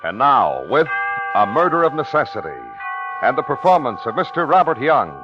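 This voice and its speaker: A man introducing a radio thriller as announcer, with dramatic, portentous, measured phrasing in 1950s style. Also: medium pitch, big pitch swings, music in the background. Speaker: program announcer. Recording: muffled old radio recording.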